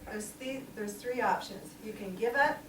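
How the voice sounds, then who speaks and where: A woman's voice: mid-range voice, moderate pace. Chatty sixty-year-old woman preaching, echoey. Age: 60s. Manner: chatty, laughs often, um-filled. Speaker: lay preacher. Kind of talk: informal church sermon. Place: small church hall. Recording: echoey room, distant mic, noisy.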